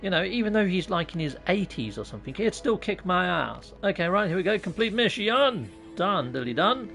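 A man's voice: sits high, big pitch swings, a little fast, gravelly, bright, forceful tone, faint music behind. A British man in his thirties chatting casually with viewers while live-streaming a game, joking and poking fun at himself, on a USB mic set on his laptop in a small room at home. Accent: British English. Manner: jokey, self-mocking, chats with viewers. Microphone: USB mic on top of a laptop.

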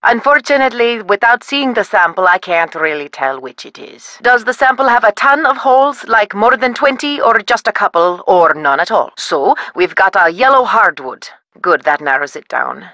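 A bright, brisk wood scientist. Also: female voice, medium pitch, lively intonation, dry recording. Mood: helpful. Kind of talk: video game voice acting.

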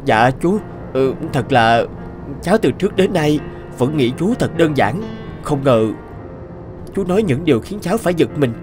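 An actor voicing a young, modest man who talks in an earnest, soft-spoken way in a cartoon. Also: fairly high pitch, animated, normal speed, close to an everyday voice. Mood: respectful. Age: young adult. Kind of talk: cartoon voice acting. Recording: music under the voice. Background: background music.

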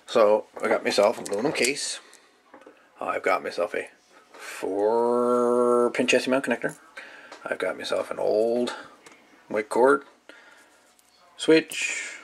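A man giving a casual, folksy hands-on demonstration as a hobbyist, musing and pausing as he goes, recorded indoors at a workbench. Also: mid-range voice, vocal fry. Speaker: hobbyist radio video maker. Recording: light background noise, clicks of handled parts.

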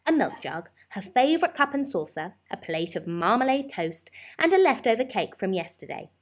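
A woman reading a children's bedtime story as an expressive narrator. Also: medium pitch, breathy edge.

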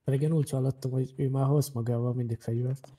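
Very dark-voiced man in game chat. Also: medium pitch, fairly even intonation. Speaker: player in an online role-play game.

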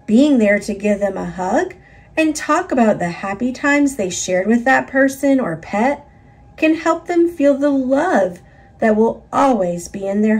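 Slow, high-pitched woman reading a storybook. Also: breathy edge. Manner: expressive, instructive delivery for young children. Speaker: picture book narrator. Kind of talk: read-aloud children's storytelling.

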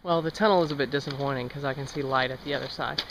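A man's voice: medium pitch, steady tone, rapid-fire. A young man narrating his own walk on a travel vlog, chatty and musing, with wry asides. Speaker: travel vlogger. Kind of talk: casual first-person travel narration. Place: outdoors on an overgrown trail and railway line. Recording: outdoor camera audio with clear ambient noise.

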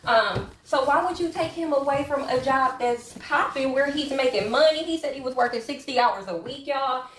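A woman talks to her viewers while cleaning her living room. Her voice sits a little high, and her talk is chatty and gossipy. The camera stands across an echoey room. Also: moderate pace, hardly any pauses, fairly bright tone, a little hiss or hum. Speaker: vlogger. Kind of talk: casual chat while cleaning. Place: home living room with hardwood floor. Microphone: camera set up across the room.

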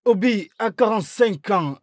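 A man's voice: sits high, lively intonation, normal speed, no room sound, clean studio sound. A man dubbing a stammering, complaining middle-aged trader in a film. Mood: grumbling. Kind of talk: French film dub.

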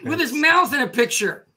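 A man's voice: extremely high pitch, expressive, sharp tone. A man hosting a comedic video-call show, joking, protesting and laughing easily. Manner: playful, protesting, quick to laugh. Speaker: host.

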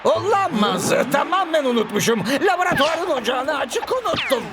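An actor voicing an eccentric elderly professor in a cartoon dub, brainy and absent-minded, with an excitable drawn-out delivery. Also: male voice, very high voice, heavy background noise. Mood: flustered. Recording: music behind the voice.

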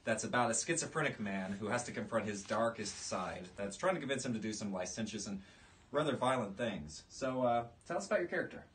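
A man in his thirties hosting a relaxed, conversational interview in a small room, picked up by a distant mic. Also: lowish voice, fairly quick. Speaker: podcast host and writer. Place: small indoor room, seated in armchairs. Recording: distant room mic, audible background noise.

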